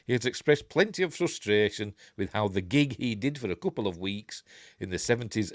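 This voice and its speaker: A man's voice: fairly low pitch, no echo, clean studio sound. Congested Yorkshire man reading geek news. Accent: Yorkshire English. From Yorkshire, England. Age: older. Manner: reads articles aloud with wry, blunt off-the-cuff asides. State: congested, stuffy nose. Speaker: host of a geek news stream. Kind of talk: casual live-stream news reading with asides.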